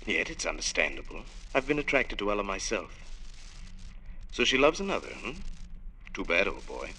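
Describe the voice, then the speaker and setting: A sly, urbane gentleman villain, deep-voiced and very sharp-edged, on a noisy old radio drama recording. His mocking lines swoop theatrically. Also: wildly swooping pitch, normal speed, heavily acted. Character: suave, sly, treacherous gentleman rival. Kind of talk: vintage radio drama acting.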